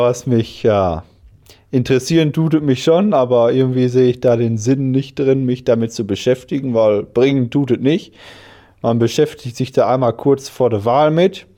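A man's voice: mid-range voice, warm tone. A man in his twenties, a master electrician, telling laid-back stories and chuckling in an interview. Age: 20s. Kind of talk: interview answers.

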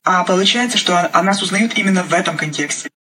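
A woman from Ukraine speaking reflectively as an interviewed playwright, halting and searching for her words. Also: fairly low pitch, pushed and bright. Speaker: guest playwright. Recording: faint background noise.

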